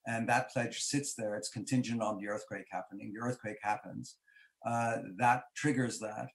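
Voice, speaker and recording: An older man speaks from a study at home over a video call, laying out a financial mechanism in a measured, deliberate way. His pitch sits in the middle range, and he often repeats short words while he builds his argument. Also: steady tone, gravelly. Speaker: chair of a development finance board, interviewee. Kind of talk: measured expert explanation.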